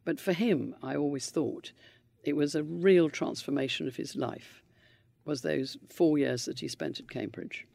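An older man, answering interview questions in a measured, gentle and reflective way. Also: fairly high pitch, creaky, dry recording. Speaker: interviewee, widower of a politician.

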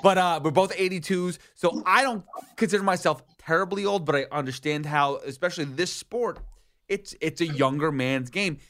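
A man's voice: a little high, moderate pace, fairly bright tone. A man in his late thirties hosting a podcast, asking long, rambling questions with many restarts. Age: 30s. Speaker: podcast host. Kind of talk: interview questions.

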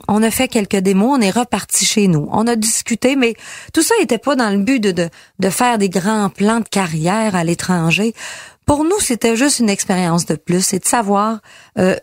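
A middle-aged woman from Quebec with a Québécois French accent, candidly telling her story as a country singer and often pausing on fillers. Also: medium pitch. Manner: candid, halting with frequent fillers. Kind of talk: personal storytelling.

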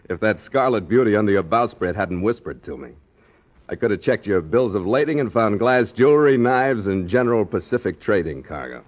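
An actor playing a pushy, wheedling sailor in a 1940s radio drama, cajoling and needling. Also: male voice, lowish voice, animated, normal speed. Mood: eager, cajoling. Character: pushy, wheedling male sailor seeking a berth. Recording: dull old radio recording.

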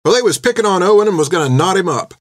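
A man voicing a fearless teenage orphan girl who talks in a rough, ungrammatical, defiant way. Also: high voice, lively intonation, very fast, voice pushed up.

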